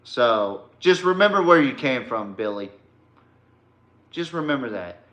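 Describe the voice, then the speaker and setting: A male sports podcast host speaks bluntly and confrontationally, taking his time between phrases. His voice is forceful and bright, and the room around him echoes. The delivery is an informal, slangy rant aimed at a rival. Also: medium pitch, sing-song, a little slow, lo-fi sound.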